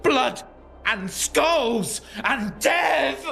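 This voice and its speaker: A man's voice: very high voice, lively intonation, very slow, gravelly, pushed and bright, faint music behind, pitched above the performer's own voice, exaggerated voice. A man voicing a savage, childlike warrior in an audio drama, growling in broken, primitive speech. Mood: savage agitation. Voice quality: growling, guttural. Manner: broken, primitive speech in short clipped sentences. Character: feral, childlike, savage male neophyte warrior, hulking and bloodthirsty. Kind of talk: dramatic audio story character acting.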